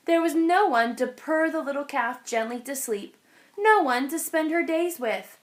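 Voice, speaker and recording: A woman narrating a children's picture book aloud in an expressive storytelling style. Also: high-pitched, unhurried.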